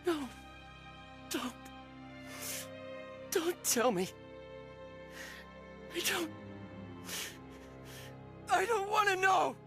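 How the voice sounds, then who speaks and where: A man playing an earnest, emotionally fragile young male alien in a video game cutscene, speaking in a halting, heartfelt way. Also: very high-pitched, sing-song, heavily acted. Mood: desperate denial. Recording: game music behind the voice.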